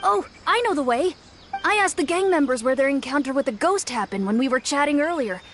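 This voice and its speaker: A woman's voice: sits high, expressive, fairly quick, fairly bright tone, a little hiss or hum. A woman voicing an eager, earnest young female forest spirit in a video game, a little nervous in how she talks. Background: game soundtrack music.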